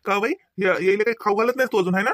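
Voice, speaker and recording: A man's voice: high-pitched, very fast, a bit bright, no room sound, studio quality. A man playing a teasing young student uncle visiting his sister in a Marathi comedy cartoon, speaking familiarly with a rural Vidarbha accent. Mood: curious.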